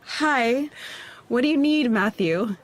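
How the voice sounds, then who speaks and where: A woman's voice: on the high side, expressive, slow pace. A woman playing a sullen, hesitant teenage girl and former model in an audio drama. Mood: guarded.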